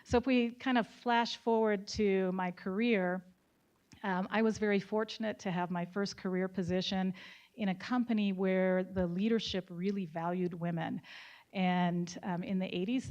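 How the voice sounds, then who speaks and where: A woman in her sixties, speaking as a panelist in a measured, storytelling way. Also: medium pitch, steady tone, a little mellow. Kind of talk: panel discussion. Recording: muffled lo-fi event recording.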